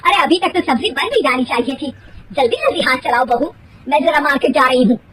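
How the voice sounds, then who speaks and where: A woman's voice: high voice, brisk, noisy background, natural voice. A woman voicing a stern middle-aged mother-in-law in a story, scolding, bossy and sarcastic.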